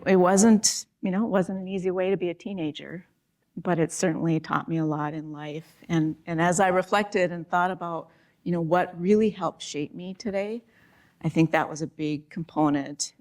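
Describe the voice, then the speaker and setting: A woman with a low voice guides a panel discussion. She talks in an earnest, reflective way and often restarts her sentences. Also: steady tone, moderate pace, darkish tone. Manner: earnest, with frequent restarts and fillers. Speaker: panel moderator.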